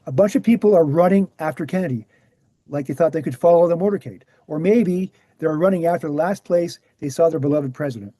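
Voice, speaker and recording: A man playing an earnest researcher in a screenplay table read, explaining things in a measured, assured way. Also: fairly high pitch, warm tone, dry recording, close to an everyday voice. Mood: assertive. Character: Gary, earnest male presenter, calm debunking researcher.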